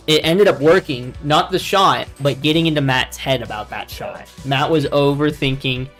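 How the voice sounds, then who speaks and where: A man's voice: mid-range voice, a bit bright. A man chatting and joking as a commentator on a live game stream, teasing his co-hosts. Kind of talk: casual sports commentary chat.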